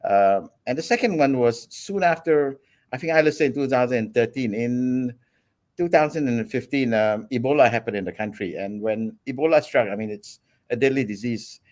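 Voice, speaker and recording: A man in his forties from Singapore, a guest on an interview show, telling his story in a relaxed, steady way with many ums. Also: mid-range voice, normal speed. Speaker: guest entrepreneur.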